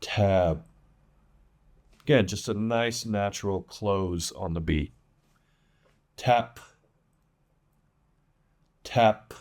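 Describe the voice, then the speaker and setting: A man giving an English pronunciation lesson as a teacher, enunciating example words with deliberate emphasis. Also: lowish voice, darkish tone. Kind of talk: instructional lesson with demonstrated words.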